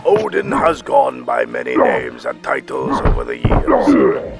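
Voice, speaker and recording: A man's voice: mid-range voice, huge pitch swings, a little slow, gravelly, over loud music, heavily acted. A man playing a solemn storyteller, giving a grave, dramatic scripted narration of myths. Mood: grave, ominous.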